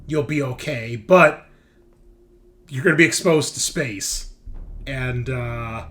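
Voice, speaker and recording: A man's voice: medium pitch, slow, fairly bright tone, soft background music. A man running a tabletop roleplaying game, improvising narration and giving rulings in a casual, conversational way. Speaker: game master.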